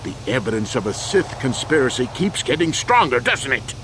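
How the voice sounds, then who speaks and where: An actor playing a proud, weary old war veteran accused of a crime, earnest and deliberate, in a video game. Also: male voice, mid-range voice, big pitch swings, background noise, close to an everyday voice. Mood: defensive, insistent. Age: older.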